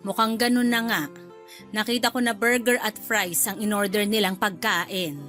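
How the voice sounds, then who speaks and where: A woman's voice: medium pitch, steady tone, moderate pace, a bit bright, soft background music, natural voice. A woman playing a meddling middle-aged mother-in-law in a radio drama, chatty and sweetly critical. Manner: chatty, fussing, needling under politeness.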